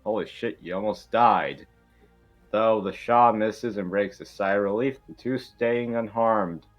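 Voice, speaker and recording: A man's voice: fairly low pitch, a little slow, lo-fi sound, faint music behind. A man reading out scripted narration as an amateur table read narrator, informally and stumbling over the script.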